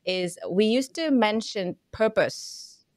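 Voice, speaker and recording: A woman's voice: medium pitch, lively intonation, slow, darkish tone, no echo, studio quality. A young woman whose first language is Vietnamese, hosting a podcast interview and thinking aloud with many fillers and hesitations. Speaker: podcast host. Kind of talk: casual interview chat.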